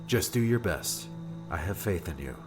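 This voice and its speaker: A man voicing a troubled, hurried young male adventurer in an audiobook, speaking earnestly and urgently, often hushed. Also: sits low, expressive, music in the background. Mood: hushed, sincere. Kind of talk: audiobook character acting.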